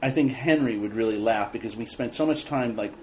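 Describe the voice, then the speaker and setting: A man's voice: medium pitch, brisk, a little mellow, a little hiss or hum. A man hosting a casual podcast chat, joking with his guest and laughing often. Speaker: podcast host, TV director.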